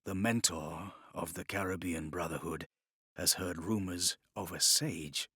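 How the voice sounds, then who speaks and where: A man's voice: deep voice, a little slow, bright, forceful tone, slightly rough, no echo, clean studio sound, voice pushed down, heavily acted. A man playing a proud, ambitious male assassin turning traitor in an audiobook, with a terse, guarded, menacing manner.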